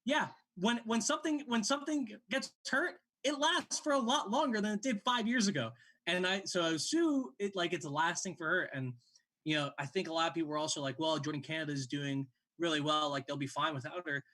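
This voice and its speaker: A man's voice: a little high, brisk, pushed and bright. A man in his late twenties hosting a sports show, giving casual game analysis in a conversational way.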